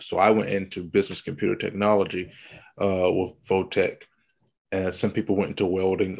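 Brief: low gravelly man, raspy and under the weather, unhurried bible-study host on a call. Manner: slow, teasing, explanatory. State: raspy from illness. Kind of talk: casual Bible study chat over video call. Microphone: video call.

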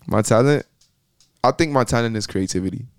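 A man's voice: mid-range voice, a little fast, no echo. A young man from Miami, a podcast guest, talking casually and rambling with lots of fillers. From Miami, Florida. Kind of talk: casual interview chat.

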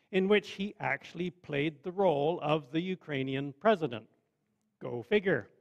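A man preaching a sermon in a measured, conversational way with wry asides. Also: fairly high pitch, highs cut off. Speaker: pastor.

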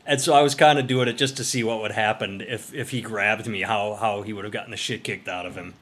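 Low-voiced man telling a rowdy story. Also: quick pace. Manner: profane, rambling, animated storyteller. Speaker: podcast co-host. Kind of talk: casual storytelling chat.

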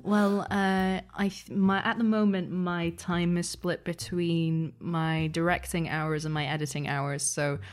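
A woman's voice: fairly low pitch, level tone, measured pace, few pauses. A woman chatting casually as an interview guest, thinking aloud in a thoughtful, hesitant way. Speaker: interview guest, audio drama producer and editor.

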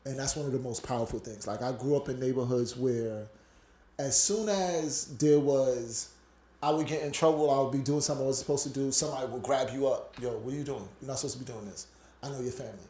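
Podcast co-host, New man, warm mellow tone, reflective and earnest, roomy echo. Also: mid-range voice, quick pace. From Brooklyn, New York. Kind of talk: casual podcast chat.

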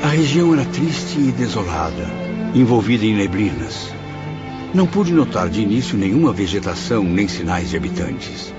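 A man's voice: fairly low pitch, very animated, normal speed. An actor in a radio drama playing an anguished man's spirit who recalls the afterlife in a dramatic, awed, reflective way. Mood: somber, awed. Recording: dull lo-fi radio drama under music.

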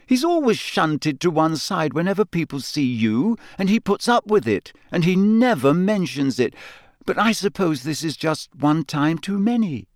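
A man's voice: a little high, big pitch swings, normal speed, no echo, clean studio sound, voice pushed up. A man voicing an earnest, clever teenage schoolgirl who talks urgently and insistently.

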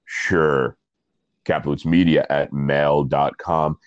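A man's voice: very deep voice, darkish tone, no room sound, dull audio. A man with a stuffy nose hosting a casual interview, rambling with self-deprecating asides. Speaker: podcast host and coffee reviewer.

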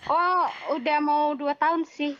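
A young woman with a shrill voice, chatting casually and jokingly as a podcast guest. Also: steady tone, unhurried, no room sound, highs cut off. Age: young adult. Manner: joking, informal. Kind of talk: casual chat.

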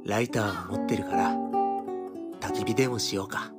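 An actor playing a considerate, anxious young man, gentle and hesitant, in a radio drama. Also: lowish voice, normal speed, over loud music, lightly acted.

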